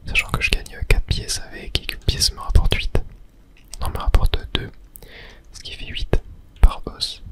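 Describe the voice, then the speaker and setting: A fully whispered voice in an ASMR gaming style, speaking close to the microphone. The delivery is hushed and unhurried, commenting on the game as it is played. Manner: hushed whispering, unhurried narration of own play. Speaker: gaming ASMR creator and host.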